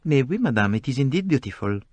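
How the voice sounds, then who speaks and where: A man's voice: mid-range voice, big pitch swings, fast, no room sound, pitched above the performer's own voice. A man voicing a small, polite, fussy male detective who speaks courtly, with French phrases.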